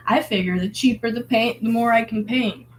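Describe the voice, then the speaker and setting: A hoarse woman, sick at the moment, talking in a casual, rambling and reflective way, full of filler words, as she paints. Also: on the high side, moderate pace, slight background noise, low-quality recording. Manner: rambling, filler-heavy, musing. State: hoarse from illness. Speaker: vlogger painting.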